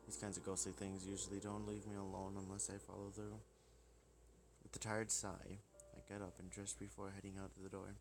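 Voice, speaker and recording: A man's voice: deep voice, monotone, brisk. A man reading first-person visual novel narration aloud. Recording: loud music behind voice. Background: music.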